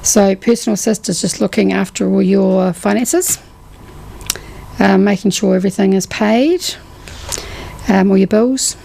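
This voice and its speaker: A woman's voice: mid-range voice, steady tone, a little slow, gravelly, mellow, a little hiss or hum, low-quality recording. A woman, a guest on a radio show, explaining financial services with lots of "um"s. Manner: explanatory, uses many fillers. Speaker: guest from a trust organisation.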